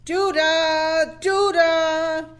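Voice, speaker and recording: Very high, childlike old mother. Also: little pitch movement, exaggerated voice. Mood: defiant, singsong. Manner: chanting in few, drawn-out words. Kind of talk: audio play acting.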